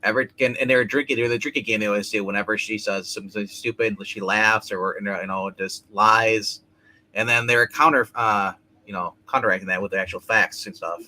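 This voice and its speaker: A man's voice: on the low side, fairly even intonation, brisk, bright, forceful tone, rough recording. A man chatting casually on a livestream, opinionated and repeating his phrases. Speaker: livestream co-host or guest. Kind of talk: casual political chat.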